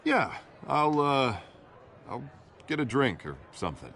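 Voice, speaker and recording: An actor playing an eager, flustered political candidate in a video game, speaking casually and a little hesitantly. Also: male voice, medium pitch, big pitch swings, a little slow, a bit bright, some chatter behind, a little hiss or hum. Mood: deflated. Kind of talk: video game dramatic acting.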